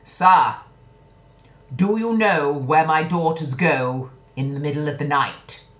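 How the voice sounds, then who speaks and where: Woman playing a loud, imperious storybook king. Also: deep voice, slow pace. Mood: demanding. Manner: imperious, emphatic. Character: stern, incredulous, commanding king and father.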